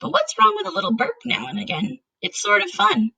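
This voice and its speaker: A woman's voice: very high-pitched, big pitch swings, brisk, gravelly, pushed and bright, voice pushed up, heavily acted, rough recording. A woman voicing a curious, polite and patient young girl in a storybook, asking and answering questions. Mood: matter-of-fact.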